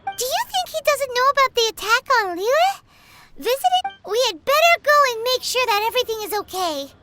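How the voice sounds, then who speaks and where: Squeaky, very high fairy girl. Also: very animated, a little fast, background noise. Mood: concerned. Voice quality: squeaky, childlike. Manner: exclaiming, refers to herself by name. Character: tiny excitable childlike fairy companion girl, indignant and loyal. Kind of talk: video game character dialogue. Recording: game music behind the voice.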